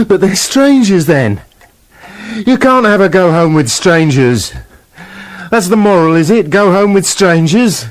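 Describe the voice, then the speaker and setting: Talking wolf, slow delivery, lively higher-pitched voice, aggrieved, argumentative, radio drama. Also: male voice. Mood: incredulous. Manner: questioning, argumentative, colloquial. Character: talking wolf, a plain-speaking, aggrieved family man. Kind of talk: radio play acting.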